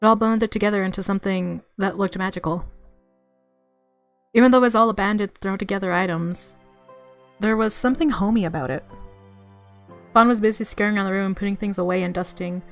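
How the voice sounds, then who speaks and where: A woman reading a visual novel aloud as expressive story narration. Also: medium pitch, brisk, long pauses, soft background music.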